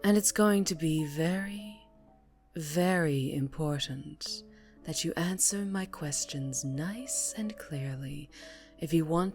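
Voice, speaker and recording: A woman playing a sadistic, seductive mafia boss in an ASMR roleplay, teasing and menacing, often dropping into breathy whispers. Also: fairly low pitch. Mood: cold, threatening.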